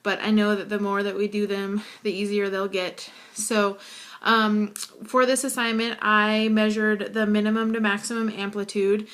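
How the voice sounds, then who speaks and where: Deep, hoarse woman with vocal fry. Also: flat delivery, moderate pace, fairly bright tone. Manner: hesitant, frequent fillers. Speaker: student. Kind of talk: casual video assignment to camera.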